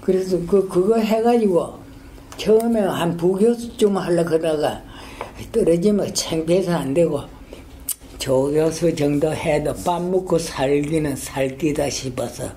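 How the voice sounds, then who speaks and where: A man's voice: a little high, dark tone, a little hiss or hum. Older man with a strong Gyeongsang dialect. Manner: halting, rambling, many fillers and restarts. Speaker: interviewee, retired school principal. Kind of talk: oral history interview.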